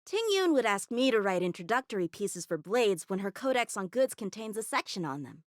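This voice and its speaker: A woman's voice: mid-range voice, very animated, fairly quick, dry recording, studio quality, lightly acted. A woman voicing an eager, earnest teenage boy swordsman in a game, talking in a boyish, cheerful way. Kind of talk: game character voice lines.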